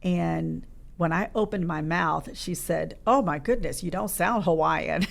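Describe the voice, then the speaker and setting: Woman, middle-aged, deep voice, gravelly texture, thoughtful interview guest, studio. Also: measured pace. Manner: reflective, searching for words. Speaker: podcast guest. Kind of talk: casual interview chat. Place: podcast studio.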